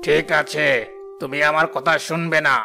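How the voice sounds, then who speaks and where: A greedy village landlord from a moral-tale cartoon, acted by a man. His voice sits a little high for a man, and he speaks insistently, with menace. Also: flat delivery, normal speed, vocal fry, pushed and bright, soft background music, heavily acted. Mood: threatening. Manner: insistent, threatening. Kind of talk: Bengali fairy-tale cartoon voice acting.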